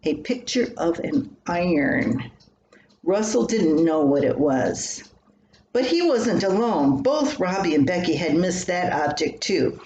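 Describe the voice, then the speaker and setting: A woman's voice: on the low side, a little mellow. An older woman reading her own scripted personal story aloud. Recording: roomy echo, faint background noise.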